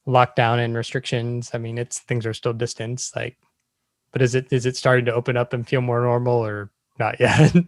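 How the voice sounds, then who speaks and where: A man's voice: medium pitch, flat delivery, normal speed, no room sound. Man hosting a podcast. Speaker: podcast host. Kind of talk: casual podcast interview and outro.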